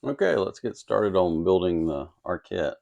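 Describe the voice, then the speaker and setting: A man's voice: low voice, expressive, normal speed, creaky, darkish tone, dull audio. A man giving an informal technical explanation in a plainspoken, folksy, step-by-step way, like a hobby instructor at a workbench. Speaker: host of an electronics kit-building channel.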